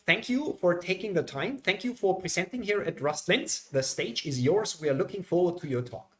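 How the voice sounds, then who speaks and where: Higher-pitched man hosting an online meetup. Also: animated, normal speed, a bit bright. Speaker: meetup host. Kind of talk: casual live event hosting. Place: online livestream video call.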